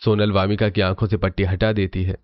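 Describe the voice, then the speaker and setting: A man's voice: low-pitched, little pitch movement, a little fast. A man narrating a scripted cartoon family story as the storyteller, on a slightly dull recording.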